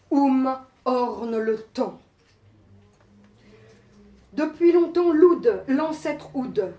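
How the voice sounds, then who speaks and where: A woman with a high-pitched voice performs poetry very deliberately. She declaims in short, separated phrases with an incantatory manner. The sound is roomy, with clear echo around her words. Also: a little mellow. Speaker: poet performing a poem. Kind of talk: poetry recitation. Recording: roomy, echoing live space.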